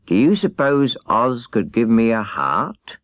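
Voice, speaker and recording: A man's voice: mid-range voice, big pitch swings, takes it slowly, fairly bright tone, dry recording, dull audio. A man voicing a polite, grateful tin man in a children's storybook, speaking in an earnest, courteous manner. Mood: earnest, hopeful. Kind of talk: children's storybook character reading.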